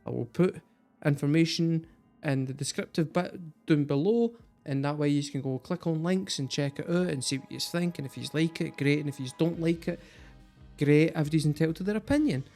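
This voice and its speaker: Scottish guy doing a music reaction, casual and sweary, bit of a higher voice. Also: brisk, dark tone. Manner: chatty, sweary and jokey. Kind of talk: casual chat to camera.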